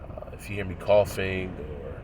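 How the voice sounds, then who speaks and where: A man in his thirties with a cold, sounding nasal and congested, speaking in a measured, moralizing way as he comments on a video. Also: deep voice, background noise. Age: 30s. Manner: measured, moralizing, pausing often. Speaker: religious commentator.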